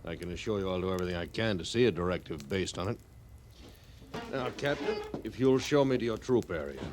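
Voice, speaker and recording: An actor playing a haughty, hard-line army major with formal, authoritative speech in an old radio western. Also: male voice, sits low, animated, brisk, darkish tone. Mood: stern, insistent. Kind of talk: 1950s radio western acting. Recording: noisy old radio drama recording.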